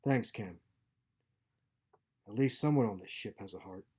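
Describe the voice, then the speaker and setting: A man's voice: fairly low pitch, measured pace, darkish tone, husky. A man voicing a bitter, wounded starship engineer, resentful and weary, in a story read aloud.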